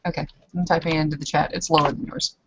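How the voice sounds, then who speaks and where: Woman, lower register, casual roleplaying player talk. Dry, muffled lo-fi voice-chat sound. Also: level tone, rapid-fire, noisy background.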